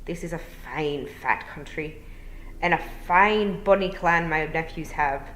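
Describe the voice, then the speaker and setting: A woman's voice: sits low, a bit bright, reverberant, slight background noise. Woman playing a dignified old pixie bard. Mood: wistful. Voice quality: rolled R's. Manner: clear, slow, polite, formal.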